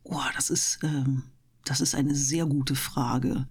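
A woman who works as a speaker, chatting casually and enthusiastically with trained, clear articulation. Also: very deep voice, fairly even intonation, slow. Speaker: host of an audio channel and interview guest, professional speaker. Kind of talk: casual interview chat.

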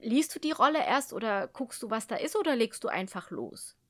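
A woman's voice: a little high, very animated, fairly quick, a bit bright, soft background music. A woman hosting a casual radio interview, asking questions in a chatty, informal way.

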